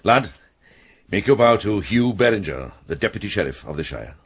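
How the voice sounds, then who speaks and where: An actor playing a weary, kindly and protective monk in a radio drama, speaking gently with measured, quiet authority. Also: male voice, lowish voice, very animated, husky, full character voice. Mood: kindly, firm.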